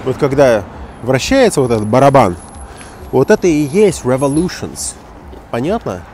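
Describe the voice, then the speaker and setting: A man's voice: medium pitch, moderate pace, a little hiss or hum. A man in his forties, an interpreter and English teacher, talking casually while walking outdoors at night. He rants in an emphatic, mocking way, recorded on a phone held at arm's length. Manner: animated, emphatic, mocking rant. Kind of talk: casual walking vlog commentary. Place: city street at night, outdoors. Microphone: phone at arm's length with wireless earbuds.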